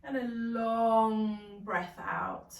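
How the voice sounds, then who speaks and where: A woman leading a guided meditation as a yoga nidra guide, calm, soothing and gentle, leaving long pauses. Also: on the high side. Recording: roomy echo, distant from the mic.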